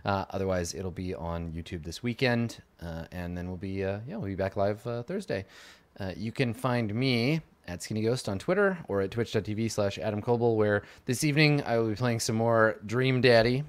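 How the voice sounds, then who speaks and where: A man with a fairly low voice hosts a live stream sign-off. He talks in a chatty, upbeat way, padding his lines with "uh" and "yeah". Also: expressive, moderate pace. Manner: chatty, upbeat, many fillers. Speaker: host.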